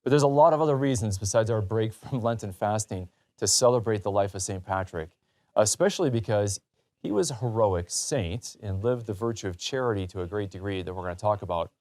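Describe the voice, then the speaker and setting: Low-pitched man hosting a talk show. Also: expressive, fairly quick, darkish tone.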